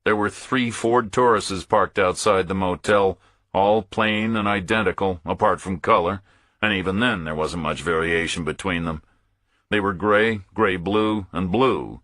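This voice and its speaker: A man's voice: deep voice, unhurried, fairly bright tone, dry recording. A man reading a first-person thriller audiobook as a scripted narrator, in terse, clipped phrases.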